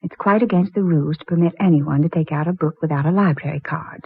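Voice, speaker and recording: A woman acting in an old-time radio drama as a polite librarian who is coy and gently teasing. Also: deep voice, fast talker, breathy edge. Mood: polite, firm. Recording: tinny, dry old-time radio recording.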